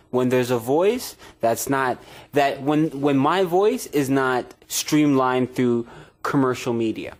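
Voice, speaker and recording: A man speaking as a guest on a panel, impassioned, slow and emphatic as he makes his case. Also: mid-range voice, a little mellow. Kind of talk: panel discussion.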